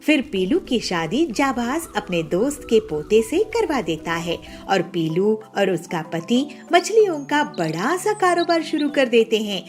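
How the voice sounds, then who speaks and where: A woman telling a children's moral story, with clear, steady narration. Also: on the high side, a little slow, hardly any pauses, soft background music.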